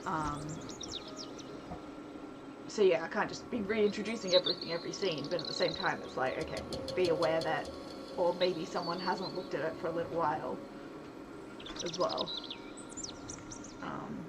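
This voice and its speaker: A woman's voice: fairly low pitch, normal speed, pauses often. A woman hosting a casual livestream, thinking aloud in an informal, rambling way and often interrupting herself as she works. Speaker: livestream host, writer and game developer. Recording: very noisy live stream audio.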